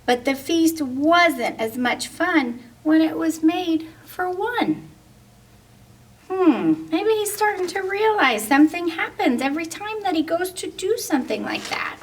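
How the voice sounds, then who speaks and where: A woman reads a children's picture book aloud in a high, swooping voice. She takes it slowly and turns to her young listeners with questions. She sounds distant from the microphone. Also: lively intonation. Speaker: story reader.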